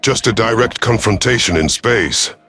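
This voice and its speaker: A man voicing a proud, calculating veteran alien rebel leader in a sci-fi audio story, measured, formal and imperious. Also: lowish voice, lively intonation, pushed and bright, faint music behind. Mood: confident challenge.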